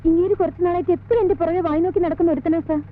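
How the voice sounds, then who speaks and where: High-voiced, firm-willed woman. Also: steady tone, rapid-fire, a little hiss or hum. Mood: plaintive. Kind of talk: 1980s Malayalam film acting. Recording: thin phone-like old film soundtrack, music.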